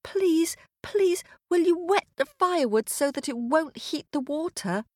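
A sweet, polite little girl from a storybook, acted by a woman, pleading and afraid. Her voice is pitched high and moves about a lot. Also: animated, unhurried, no room sound, studio quality.

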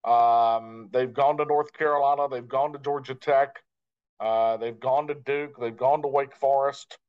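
Dull-sounding lo-fi man, measured interview talk. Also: medium pitch, steady tone. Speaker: guest, radio play-by-play broadcaster. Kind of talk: conversational interview answers.

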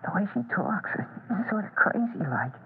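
A woman's voice: medium pitch, big pitch swings, moderate pace, slightly rough, heavily acted. An actress playing a polite, earnest fifteen-year-old boy, a hesitant big brother, in an old-time radio drama. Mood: reassuring. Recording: noisy, tinny old radio recording.

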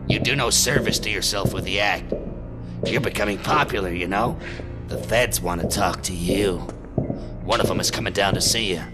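Ator fazendo detetive cínico de voz grave, americano. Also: male voice, fairly low pitch, normal speed, lightly acted. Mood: taunting. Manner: sardonic, needling, blunt. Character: gruff, cynical, taunting male police detective. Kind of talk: audio drama acting. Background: loud music.

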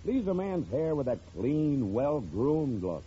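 Mellow, approving ad dad. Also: male voice, mid-range voice, very animated, takes it slowly. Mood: satisfied. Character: satisfied plainspoken dad in radio advertisement. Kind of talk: 1940s radio ad acting. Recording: muffled old radio ad with hiss.

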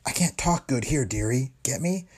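A man's voice: mid-range voice, lively intonation, normal speed, a little mellow, husky, pitched above the performer's own voice. A man voicing a brassy, streetwise woman tipster who talks in tough slang and calls everyone dearie. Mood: conspiratorial. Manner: slangy tough-girl talk, keeps saying dearie.

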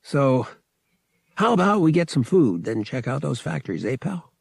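A man voices a wry young male pilot in an audiobook. He talks casually and a little hesitantly, with slang. The voice is husky and swings widely in pitch, recorded close and dry. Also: big pitch swings, moderate pace, no echo, full character voice. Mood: casual, friendly.

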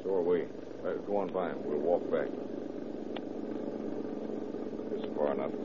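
An actor playing a shrewd, calm police detective in a 1930s radio crime drama, gruff, wry and commanding. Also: male voice, rumbling low, fast talker, very noisy. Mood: low, commanding. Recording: muffled old radio broadcast recording.

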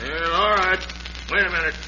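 An actor playing a gruff, easily flustered American sheriff in an old radio western. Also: male voice, high-pitched, moderate pace, pushed and bright, muffled recording. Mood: wary. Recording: thin, slightly noisy old radio recording.

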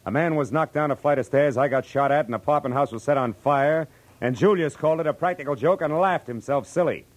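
An actor playing a wry, world-weary private eye, slangy and terse, in 1940s radio style. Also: male voice, fairly high pitch, quick pace, no room sound, close to an everyday voice. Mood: irritated, dismissive. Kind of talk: 1940s radio acting, first-person narration.

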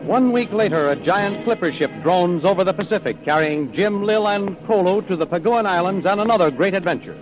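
Male 1930s American radio announcer, high voice, declamatory sales pitch, dull old broadcast sound with faint music. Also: brisk, hardly any pauses. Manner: declamatory, promotional.

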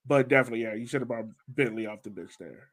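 An American man with a mid-pitched voice co-hosts a sports podcast. He speaks in a casual, conversational way, as if talking things over with fellow fans. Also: quick pace. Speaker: soccer podcast co-host.

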